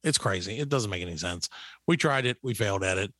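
A man's voice: lowish voice, lively intonation, quick pace, fairly bright tone, no echo, clean studio sound. A middle-aged man hosting a show with casual comedic banter, rambling and mock-boastful. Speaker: show host.

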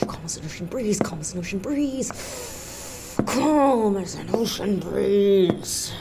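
A woman's voice: mid-range voice, expressive, slow, vocal fry, background noise. An actress playing a desperate, impatient, bossy woman in a comic audio drama, curt and exasperated. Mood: desperate, strained.

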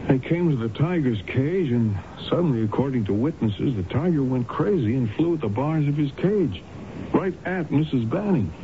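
An actor playing a matter-of-fact doctor in a 1970s radio drama. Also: male voice, medium pitch, expressive, normal speed, close to an everyday voice. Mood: earnest, reporting. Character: matter-of-fact male doctor, colleague explaining an incident. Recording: dull, noisy 1970s radio broadcast recording.

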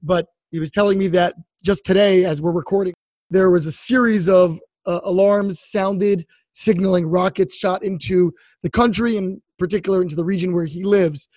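A man hosting an interview, introducing his guest and asking measured, friendly questions. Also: sits high, steady tone, darkish tone, dry recording. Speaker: host. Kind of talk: podcast interview.

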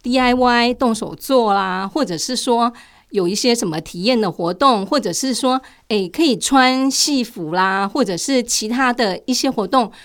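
A woman's voice: a little high, moderate pace. An older retired woman with a Taiwanese Mandarin accent, answering interview questions conversationally as a guest and sharing her own experiences.

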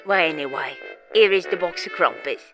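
An actress playing a polite, deferential English radio show assistant in a mannered old comedy of manners. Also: female voice, lowish voice, lively intonation, normal speed, music in the background. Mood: polite, bright.

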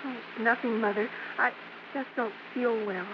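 Casting: actress as anxious, ashamed teen daughter, high voice, radio melodrama, muffled old broadcast. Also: female voice, steady tone, normal speed, lightly acted. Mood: distressed, pleading. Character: anxious, ashamed teenage schoolgirl daughter of stage performers. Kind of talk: 1940s-50s radio drama acting. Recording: dull, lightly hissy vintage radio recording.

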